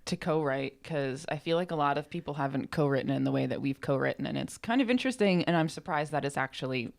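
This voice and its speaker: A woman's voice: sits low, fast, vocal fry. An American woman co-hosting a podcast in casual chat, with a wry, joking and self-deprecating manner. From United States. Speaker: podcast co-host and co-writer.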